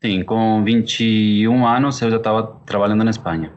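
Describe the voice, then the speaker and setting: A man's voice: on the low side, steady tone, highs cut off. Argentine man, easygoing interview answers. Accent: Argentine Spanish. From Córdoba, Argentina. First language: Spanish. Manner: unhurried, thoughtful, lots of "é" fillers. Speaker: chef and pizzeria partner.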